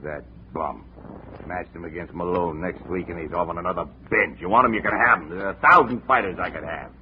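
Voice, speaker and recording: Blustery deep-voiced boxing manager, played by a man. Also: brisk, lightly acted. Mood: grumbling, rambling. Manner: blustery, rambling, jumps from shouting to muttering. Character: gruff, excitable, rambling boxing manager, a grumbling middle-aged man. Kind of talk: 1950s radio detective drama acting. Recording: muffled, noisy 1950 radio recording.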